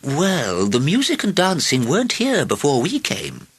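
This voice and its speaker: Storybook grandmother, elderly and blunt, played by a man: raised pitch, husky, scornful edge. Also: wildly swooping pitch, normal speed, pushed and bright, full character voice. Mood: stern, frank. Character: tall, stern, spirited old grandmother in patchwork.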